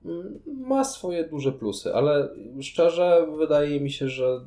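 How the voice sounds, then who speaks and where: Mellow analytical man in an echoey room. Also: fairly high pitch, animated, takes it slowly. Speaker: podcast co-host. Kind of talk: casual board game discussion. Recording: roomy, echoing room sound.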